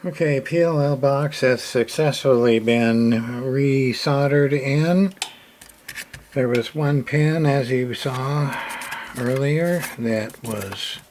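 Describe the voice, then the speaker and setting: A man's voice: medium pitch, a little mellow. A man casually narrating hands-on repair work as a hobbyist, plain-spoken and muttering a little as he works. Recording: clear background noise.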